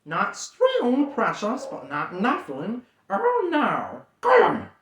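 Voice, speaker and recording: A reader voicing a wretched, greedy cave creature who hisses and whispers, jumping from shrill squeals to low mutters. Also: huge pitch swings, slow pace, a bit bright, heavily acted. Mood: sly scheming. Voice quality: hissing, whispery, lisping plurals. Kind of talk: audiobook character voice. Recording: roomy echo.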